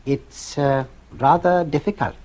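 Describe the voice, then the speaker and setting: An actor playing a greedy charlatan doctor in an old-time radio suspense drama, hesitant and insinuating. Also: male voice, a little high, unhurried, a little mellow, close to an everyday voice. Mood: sly, hesitant. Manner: hesitant, insinuating, mock-reluctant.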